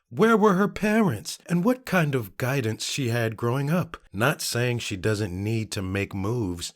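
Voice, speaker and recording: A man narrating online comments as a theatrical dramatic reading, shifting his delivery from one comment to the next. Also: mid-range voice. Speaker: narrator of a social media commentary channel.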